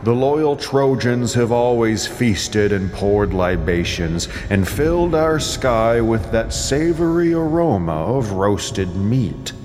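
A man's voice: mid-range voice, animated, slow pace, few pauses, background noise. A man playing a mighty, imperious king of gods and exasperated husband, speaking in a grand declamatory way in an epic audio drama. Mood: stern. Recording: long cavernous reverb, loud music behind.

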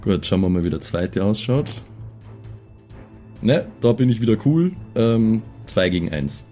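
Low, mellow male game player. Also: expressive, a little slow, soft background music. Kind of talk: casual game chat.

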